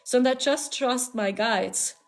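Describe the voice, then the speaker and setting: A woman whose first language is German, speaking English with a clear German accent. She hosts a live stream as a spiritual channeler, and her voice sits fairly high. She talks in an earnest, soothing, repetitive way and often breaks into small laughs. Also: takes it slowly. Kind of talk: casual live-stream talk.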